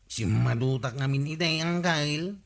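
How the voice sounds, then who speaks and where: A man's voice: fairly high pitch, a little slow, creaky. A man playing a cheeky village councilman who wheedles for money in an Ilocano comedy radio drama. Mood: prying.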